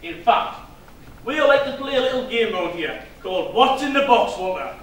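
A man acting a cheeky pantomime village joker with a very high, swooping voice. He plays jokes out to the house with exaggerated comic timing, heard from far off on an echoey stage. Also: sing-song, normal speed, fairly bright tone, a little hiss or hum, exaggerated voice. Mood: teasing. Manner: exaggerated, playful comic delivery to the crowd. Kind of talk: pantomime stage comedy, addressing the audience. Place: theatre stage, distant microphone.

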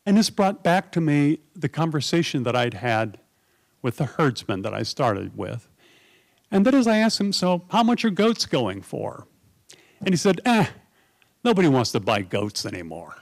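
A man's voice: fairly high pitch, normal speed, gravelly. Middle-aged new york guy at a panel table, chatty storyteller, does little voices for people he quotes. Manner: animated anecdotal, acts out quoted voices. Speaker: panel speaker. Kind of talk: conversational storytelling. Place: conference panel table, indoors. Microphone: table mic on stand.

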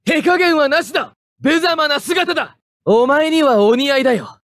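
A man playing a proud, hot-headed young mecha pilot, barking short forceful attack calls in an anime fighting game. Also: very high voice, normal speed, dry recording, studio quality. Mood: taunting.

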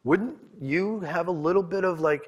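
A man's voice: sits high, moderate pace, slightly rough, highs cut off. A man with a Boston accent, from New England, giving a conference presentation in candid storytelling style, often repeating and restarting words. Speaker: conference speaker. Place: conference room.

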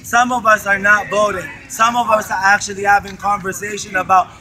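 A man with a high, bright voice argues at an outdoor protest camp. He hammers his points with rhetorical questions and the odd curse word. The sound comes from a handheld camera in an open park. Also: little pitch movement, brisk, a little hiss or hum, low-quality recording. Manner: forceful, rhetorical questions, swearing. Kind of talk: impassioned street debate.

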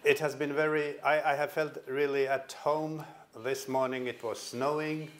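An older man from Finland with a Finnish accent gives a conference presentation in a hall, speaking haltingly and repeating words as he restarts. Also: fairly high pitch, little pitch movement, slight background noise. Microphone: stand microphone at a podium.

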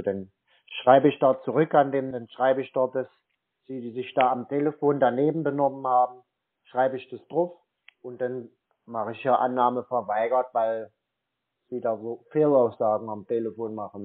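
A man on a phone call, arguing as a dissatisfied customer in a long-winded, insistent, scolding way. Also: medium pitch. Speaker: caller posing as an aggrieved customer. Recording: narrow, tinny phone-like sound. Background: phone call recording.

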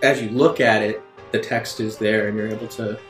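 A man narrating an improvised tabletop game as game master in a halting, conversational way. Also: fairly low pitch, steady tone. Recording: echoey room, music underneath. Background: soft guitar music.

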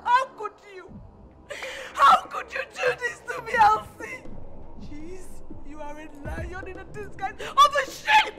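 A woman's voice: very high-pitched, sing-song, a little slow, over music, heavily acted. An actress with a Nigerian accent playing a betrayed mother who pleads and accuses. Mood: furious. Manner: pleading, then screaming accusations. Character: betrayed woman, mother of a son.

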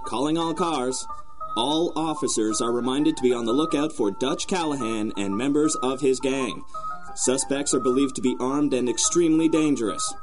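An actor playing a stern police dispatcher, announcing formally in 1930s radio drama style. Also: male voice, mid-range voice, normal speed, natural voice, rough recording. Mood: stern, official. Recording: music under the voice.

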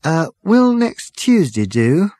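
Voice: mid-range voice, sing-song, slow pace, warm tone, dry recording, clean studio sound, pitched above the performer's own voice. A narrator voicing a sheepish, nervous male hairdresser who hesitates and stammers in a comic audiobook.

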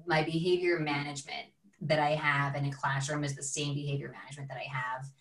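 A woman's voice: low-pitched, flat delivery, brisk. A woman guest on a podcast, a teacher, telling stories in a rambling way full of "like" and acted-out quotes. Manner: rambling, lots of "like", acts out quotes. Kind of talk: casual storytelling chat. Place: home room, video call. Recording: echoey, distant video call audio.

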